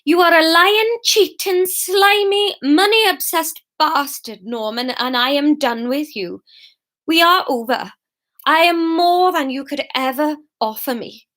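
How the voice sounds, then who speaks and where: A woman's voice: sits high, sing-song, normal speed, fairly bright tone. A woman voicing a fierce, wounded shop worker with a Welsh accent in an audiobook. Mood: angry, cold. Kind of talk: audiobook character dialogue.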